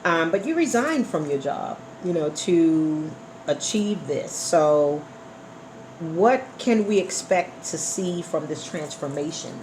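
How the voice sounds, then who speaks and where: Female radio host, animated pitch swings, effusive, encouraging, roomy live sound, faint background noise. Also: slow. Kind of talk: live radio interview chat. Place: indoor room at a live online broadcast.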